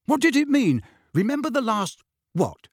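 A man's voice: very high-pitched, big pitch swings, moderate pace, husky, dry recording, clean studio sound, voice pushed up, heavily acted. Man playing an insistent, questioning teenage boy. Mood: demanding answers. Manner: urgent, persistent.

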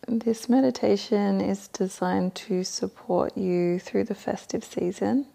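A woman guides a meditation in a soft, mellow voice with heavy vocal fry. She speaks very slowly, giving gentle, soothing instructions. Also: mid-range voice, steady tone.

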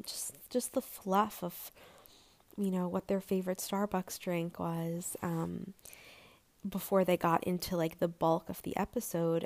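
A woman hosting her own podcast in a gentle, rambling chat, her voice a little raspy and hoarse, with plenty of fillers. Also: lowish voice. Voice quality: raspy, breathy. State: slightly hoarse. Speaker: podcast host. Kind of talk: solo podcast intro, casual chat.

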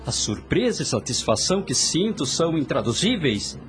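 A man's voice: on the high side, big pitch swings, moderate pace. An actor playing an eager, lovesick young nobleman in a radio soap, theatrical, formal and exclamatory. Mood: elated. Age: young adult. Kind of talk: radio soap opera acting. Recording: music under the voice.

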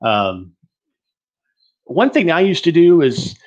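A man's voice: fairly high pitch, very animated, slow, darkish tone. A man from Texas presenting an online teaching webinar in a rambling, joking, self-deprecating way.

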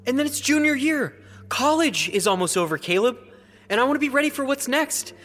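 A man's voice: extremely high pitch, animated, brisk, a bit bright. A young American man playing an anxious, sensitive college student in love, in naturalistic audio drama style. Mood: defensive, frustrated. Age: young adult.

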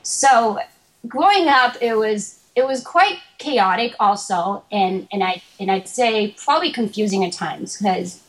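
A young woman from Boston talks as an interview guest. Her voice sits at a middle pitch. She answers thoughtfully, circling back with fillers and restarts. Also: measured pace, low-quality recording. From Boston, United States. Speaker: interview guest, martial artist. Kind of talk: casual interview talk.

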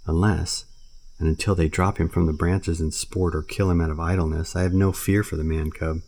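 A man voicing a proud, stern male panther who scolds slowly and impatiently. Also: low voice, flat delivery, darkish tone, slight background noise. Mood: scornful.

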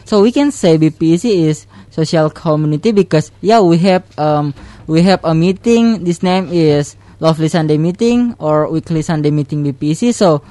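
A man with an Indonesian accent, a radio guest explaining his English club in halting, hesitant English. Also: a little high, dark tone, no echo. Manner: hesitant, many fillers and restarts in halting English. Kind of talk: casual radio talk show chat.

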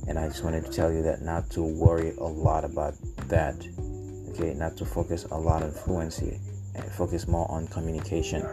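Male podcast host, Haitian accent, very deep and monotone, hesitant unscripted delivery with repeated phrases, over background music. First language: Haitian Creole. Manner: halting, searching for words, repeating phrases. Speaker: podcast host and language learner. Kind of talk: unscripted solo podcast talk.

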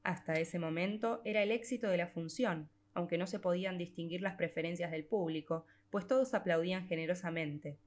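A woman with a slightly low voice narrates a piece of literary fiction. She reads from a script in a measured way, drawing out certain phrases as an audiobook storyteller does.

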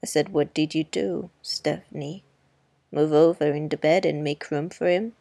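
A woman reading the part of a wry, sharp-tongued middle-aged neighbor, speaking slowly, dryly and grimly. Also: sits low, steady tone, vocal fry, no echo, pitched below the performer's own voice. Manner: slow, dry and grim. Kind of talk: audiobook character reading.

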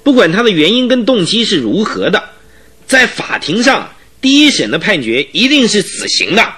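High-pitched, agitated police chief. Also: male voice, very animated, moderate pace, bright, forceful tone, slight background noise, full character voice. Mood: indignant. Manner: emphatic, exclamatory, argumentative. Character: agitated male police detective chief, indignant and stubborn. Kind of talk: Mandarin radio drama acting.

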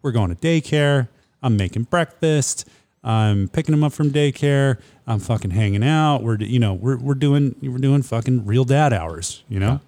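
A man in his thirties rambles through a profane, self-mocking story, his voice phlegmy as he gets over a cold. His tone is dark and mellow, and he acts out each exchange as he goes. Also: mid-range voice, normal speed. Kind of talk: casual comedic chat.

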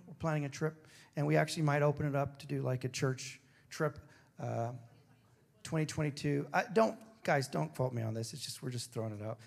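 A man preaching a sermon with a throaty, hoarse voice, moving between emphatic declarations and casual, joking asides. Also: fairly high pitch. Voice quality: gravelly, throaty. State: hoarse after singing. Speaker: pastor.

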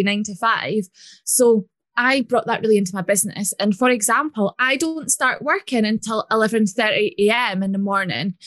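A woman with a Scottish accent, a business and money coach chatting as a podcast guest, talking in a fluent, lively storytelling way. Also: fairly high pitch, no room sound. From Scotland. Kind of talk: conversational podcast interview.

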